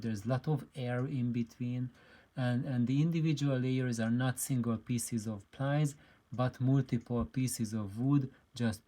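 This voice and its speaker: A man presenting an informal explainer, speaking haltingly and often repeating himself as he searches for words. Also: medium pitch, warm tone. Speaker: presenter of an audio DIY channel. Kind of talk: informal explanatory video talk.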